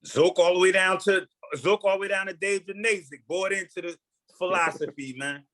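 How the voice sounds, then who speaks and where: A man's voice: sits high, fairly even intonation, normal speed, fairly bright tone. A middle-aged man reminiscing casually in an informal, colloquial way. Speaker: former college athlete.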